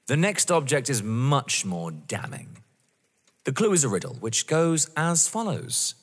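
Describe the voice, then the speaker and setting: Actor as taunting young avenger, swooping delivery. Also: male voice, medium pitch, big pitch swings, unhurried. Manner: mocking, theatrical, toying. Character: taunting, vengeful young man running a sadistic game. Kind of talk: audio drama acting. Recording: long reverb.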